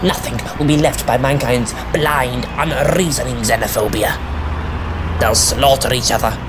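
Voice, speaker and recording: A man playing a grave, authoritative time-travelling hero in an audio drama, measured in manner, with music behind. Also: medium pitch, lightly acted, poor audio. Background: dramatic incidental music.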